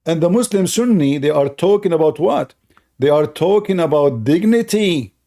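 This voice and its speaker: Mellow-voiced man telling a mocking story. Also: a little high, expressive, normal speed. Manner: mocking, repeats phrases for emphasis. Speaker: online commentator and host. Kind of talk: informal mocking storytelling commentary to viewers.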